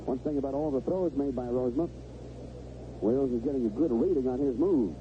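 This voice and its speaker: A man's voice: mid-range voice, fairly quick. A man calling baseball play-by-play live on the radio in clipped phrases, describing each play as it happens. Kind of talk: live radio sports commentary. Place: stadium radio booth. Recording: noisy dull 1970s AM radio broadcast.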